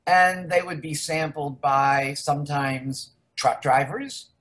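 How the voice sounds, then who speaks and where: A woman's voice: low-pitched, slow pace, fairly bright tone. An older woman from the United States, a researcher guest in a casual interview, rambling candidly through her story.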